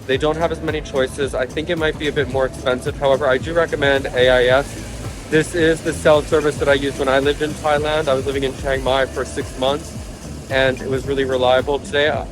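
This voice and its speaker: A man speaking in a chatty, upbeat way straight to his viewers, as if holding the camera himself. His voice sits at a middle pitch. Clear background music plays under him, with street and vehicle sounds behind. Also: level tone, fairly quick, poor audio. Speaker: travel vlogger. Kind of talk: casual travel vlog narration. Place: airport terminal, then car on the road.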